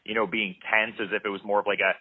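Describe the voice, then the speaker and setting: A male host speaks quickly in a lowish voice, stringing long, winding questions together. The sound is dry and thin, like a phone line. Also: flat delivery, breathy edge, no room sound. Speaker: interviewer, host. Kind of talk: casual interview questions. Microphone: studio mic with headphones.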